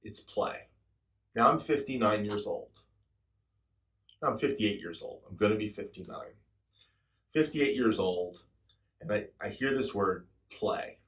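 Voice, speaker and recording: A man in his sixties speaks to his viewers in a reflective, introspective way, unhurried and personal. His voice sits a little low, and he lets many phrases fade off softly. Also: expressive. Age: 60s. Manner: introspective storytelling, soft trailing endings. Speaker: drummer and channel host. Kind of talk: reflective personal talk to camera.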